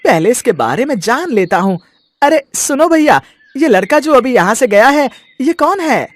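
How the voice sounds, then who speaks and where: A man's voice: very high voice, very animated, normal speed, no room sound. A man voicing a sorcerer's sneaky, grumbling henchman in a story, scheming as he talks to himself. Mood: sly, excited.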